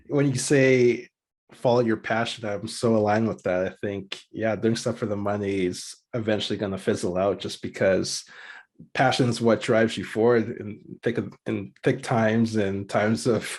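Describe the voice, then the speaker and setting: Husky-voiced male podcast host. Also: lowish voice. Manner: friendly, laughs lightly, quick affirmations. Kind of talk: interviewer's casual chat.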